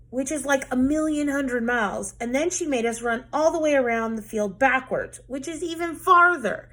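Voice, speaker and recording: Give me a woman teacher reading a children's chapter book aloud. Her voice is a little high with a gravelly texture, and she tells the story in an expressive, lively way.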